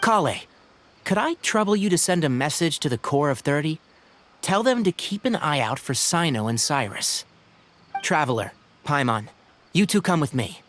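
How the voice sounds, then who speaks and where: A calm polite young ranger. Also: male voice, fairly high pitch. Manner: polite, measured, organizing. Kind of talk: game voice acting.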